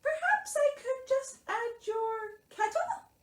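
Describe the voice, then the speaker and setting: A woman voicing a small, cheerful, eager male mouse in a children's storybook, with a thin squeaky chirp. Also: very animated, slow, heavily acted. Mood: chirpy, helpful. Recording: roomy echo.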